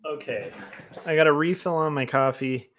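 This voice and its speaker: A man with a loud voice chatting casually to viewers on a livestream while he draws. He rambles, with lots of fillers, and talks into a mic placed close to him. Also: on the high side, moderate pace. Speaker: comic artist streamer. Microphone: desk mic close to the mouth.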